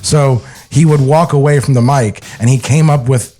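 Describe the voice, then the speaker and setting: A man's voice: medium pitch, steady tone, normal speed, dark tone, faint music behind. A man co-hosting a podcast, chatting casually with joking interjections. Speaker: podcast co-host.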